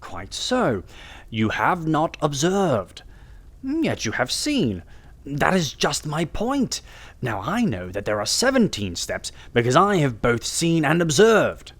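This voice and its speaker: A man's voice: a little high, wildly swooping pitch, slow pace, heavily acted. A man playing a brilliant, self-assured Victorian detective in an audiobook, lecturing in a precise, theatrical way. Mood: pointed, lecturing. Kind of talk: audiobook character acting.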